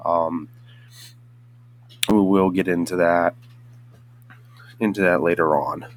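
A young man with a very low voice talks through a solo podcast episode. He sounds hoarse and congested, as if he has just woken up. He rambles, restarting sentences and filling gaps with ums and uhs. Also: fairly even intonation, slow. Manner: rambling, with many restarts and hesitant fillers. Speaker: podcast host. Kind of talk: casual solo podcast monologue.

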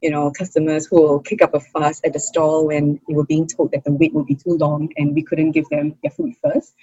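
Husky young Singaporean woman, laughing easily. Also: low voice, a little fast, no echo, dull audio. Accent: Singaporean English. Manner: chatty and expressive, laughs often, many fillers. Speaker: interview guest, hawker's daughter. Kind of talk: casual interview conversation.

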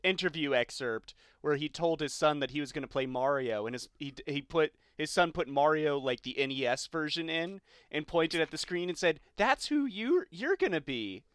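Smooth, clear man with a higher voice. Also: expressive, normal speed, hardly any pauses, fairly bright tone, no room sound, studio quality. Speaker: podcast co-host. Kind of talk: casual film discussion.

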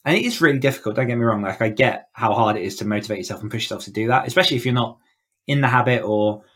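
A man's voice: mid-range voice, very fast, a bit bright. A man speaking as an author coach in a conversational podcast interview, explaining informally with plenty of "like" and "you know". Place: indoors, in a room at home, online call.

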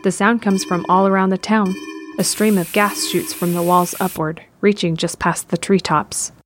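A woman's voice: lowish voice, steady tone, normal speed, music in the background. A woman reading scripted narration for an audio drama.

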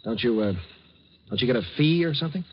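An actor playing a tense, haunted young war veteran and former army captain in a 1950s radio drama, earnest and strained. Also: male voice, mid-range voice, lively intonation, fast talker, close to an everyday voice. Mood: subdued. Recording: muffled old radio recording, faint noise.

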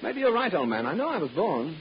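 A man's voice: high voice, big pitch swings, fairly quick. A man playing an earnest, oblivious dimwit in an old radio comedy. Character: dim-witted, earnest fellow baffled by himself. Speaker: radio comedy supporting player, bandleader. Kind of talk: 1930s-40s radio comedy acting. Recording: muffled, noisy old radio recording.

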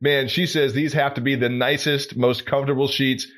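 A man's voice: medium pitch, level tone, normal speed, fairly bright tone. A man hosting an interview podcast, talking enthusiastically and affirming his guest. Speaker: podcast host.

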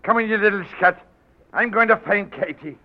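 An actor playing a big, drunken, hot-tempered Irishman, rough and blustering, in 1940s radio style. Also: sits high, normal speed, faint music behind, natural voice. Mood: surly. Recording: muffled 1940s radio broadcast.

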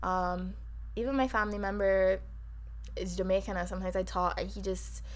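A woman with a Barbadian accent co-hosting a podcast, talking fast and emphatically in casual chat. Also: medium pitch, steady tone. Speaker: podcast co-host.

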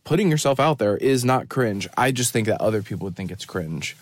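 Deadpan man rambling into a phone. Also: fairly low pitch, brisk, no room sound. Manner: deadpan, self-mocking running commentary. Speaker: podcast host. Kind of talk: rambling off-the-cuff monologue. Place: parked car in a store parking lot.

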